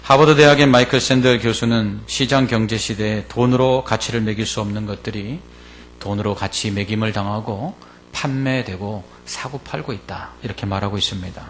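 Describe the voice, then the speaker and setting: A male pastor delivers an expository sermon in a deliberate, explanatory way, stressing key words and posing rhetorical questions. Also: fairly low pitch, a little hiss or hum.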